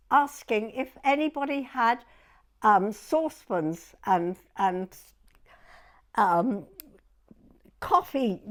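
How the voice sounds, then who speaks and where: An elderly woman in her nineties with a southern English accent, sharing memories in conversation. Her voice is fairly high and very animated in pitch, and she takes her time, often pausing between short phrases. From southern England. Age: 90s. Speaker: oral history interviewee. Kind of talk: personal reminiscence in conversation.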